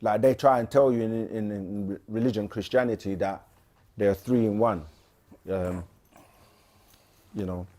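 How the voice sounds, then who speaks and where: A man co-hosting a casual livestream, reading viewer questions aloud and answering them with frequent pauses and fillers. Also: fairly low pitch. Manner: relaxed, frequent "um" and restarts, reads questions aloud.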